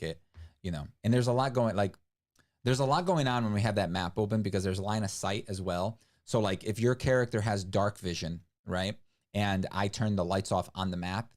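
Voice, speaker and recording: Laid-back male streamer, lowish voice, talks in loose run-on explanations. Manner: rambling, lots of "like" and restarts. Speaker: livestream co-host. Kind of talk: casual stream chat.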